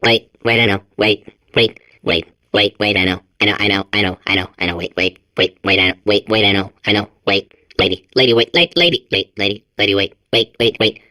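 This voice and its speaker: A man's voice: on the low side, a little fast, bright, forceful tone, no room sound, studio quality. A man voicing a manic, childlike robot in a video game, stuttering and compulsively repeating words. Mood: frantic excitement. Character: manic, childlike male robot sphere obsessed with space. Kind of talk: video game character acting.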